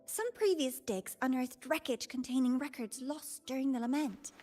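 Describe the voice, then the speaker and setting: A woman playing an excitable young female researcher in a video game, shy and nerdy, given to eager rambling and flustered apologies. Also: sits high, normal speed, faint music behind. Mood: excited. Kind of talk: anime-style video game acting.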